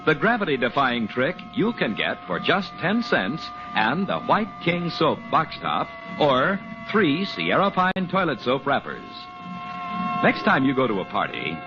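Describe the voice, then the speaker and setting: An American man announces in formal 1940s radio style, with a showman's deliberate phrasing and widely swinging pitch. The recording is muffled and old, with music clearly playing behind him. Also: wildly swooping pitch.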